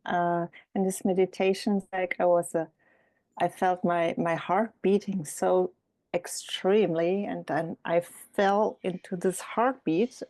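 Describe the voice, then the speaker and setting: A woman's voice: lowish voice, a little mellow, no echo. A woman sharing her meditation experience with a group on a video call, speaking hesitantly and searching for words. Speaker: meditation retreat guest.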